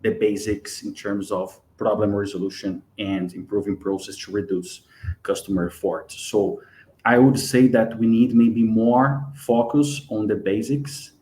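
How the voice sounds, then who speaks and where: A man's voice: on the low side, fairly even intonation, unhurried, mellow. A man in his forties from Brazil, speaking careful English with a Brazilian accent as a guest on a live webinar interview. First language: Portuguese. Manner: earnest, careful non-native English.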